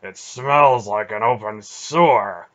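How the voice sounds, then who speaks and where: Man doing a gruff, blunt warrior. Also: fairly low pitch, measured pace, bright, forceful tone. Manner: curt, contemptuous. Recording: dull lo-fi audio.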